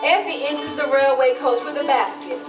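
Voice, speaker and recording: A woman's voice: sits high, normal speed. A woman giving scripted narration, reading out a play's characters and stage directions. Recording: echoey, distant, music behind.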